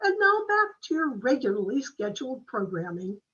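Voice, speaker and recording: A woman's voice: high-pitched, very animated, normal speed, a bit bright, breathy edge. A woman reading scripted promotional announcements in the manner of an old-time radio announcer. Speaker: theater announcer. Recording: slightly dull, lo-fi sound.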